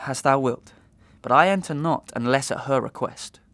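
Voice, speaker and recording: A man playing an anguished, wronged gentleman in a Victorian melodrama, declaiming in archaic, impassioned speech. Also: medium pitch, moderate pace, no room sound, natural voice. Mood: reluctant yielding. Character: anguished, wronged young gentleman branded a liar and slanderer. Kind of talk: Victorian melodrama audio acting.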